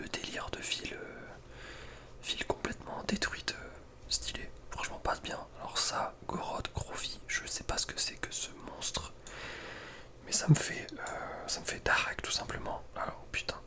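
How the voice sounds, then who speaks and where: Male ASMR creator, whispered throughout, informal and slangy, hesitant, close-miked. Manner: casual, slangy, hesitant asides. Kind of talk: whispered ASMR ranking commentary.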